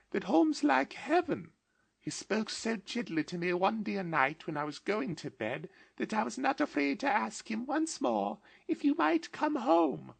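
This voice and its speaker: A man's voice: high voice, gravelly, breathy edge, no echo, voice pushed up, heavily acted. A man voicing a gleeful, eager little girl, breathless and gushing in a sing-song way.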